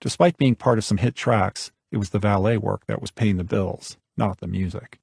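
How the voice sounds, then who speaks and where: A man's voice: fairly low pitch, normal speed, gravelly, a little mellow, husky, dry recording, studio quality. A man narrating a nonfiction audiobook from a script.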